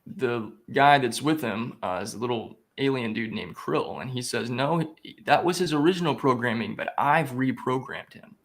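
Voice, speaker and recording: A man speaking at a middle pitch, a guest on an interview show, telling a story in a relaxed, conversational way. He acts out the lines he quotes, and his delivery is lively and engaged. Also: moderate pace, hardly any pauses. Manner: animated retelling with quoted dialogue. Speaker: guest, spiritual growth coach.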